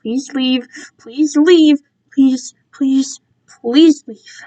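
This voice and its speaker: A woman's voice: sits high, very slow, dark tone. A woman voicing a protective young male captive in a story, urgent, anguished and stammering. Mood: desperate.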